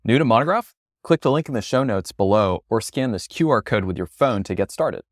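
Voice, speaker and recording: A man's voice: mid-range voice, lively intonation, brisk, no echo, clean studio sound. A man in his thirties hosting a webinar, presenting and interviewing a guest. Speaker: interview host.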